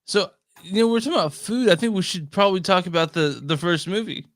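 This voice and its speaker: A man's voice: high-pitched, fast, fairly bright tone, dry recording, studio quality. A man co-hosting a podcast, chatting casually and informally with some swearing. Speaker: podcast co-host.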